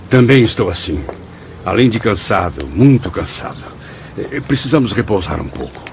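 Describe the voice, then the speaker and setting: Weary, courteous spirit, swooping pitch. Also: male voice, very animated, normal speed, vocal fry, noisy background. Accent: Brazilian. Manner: hesitant, formal, literary. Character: weary, courteous, patient male spirit of a suicide writer. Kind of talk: radio drama acting. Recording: dull studio audio, faint music behind.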